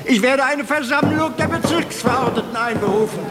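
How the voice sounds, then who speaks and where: An actor playing an indignant, bigoted older lodge member who scolds belligerently in a radio drama. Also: male voice, very high-pitched, a little fast, over loud music. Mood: outraged. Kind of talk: German radio drama acting. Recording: reverberant hall with audience.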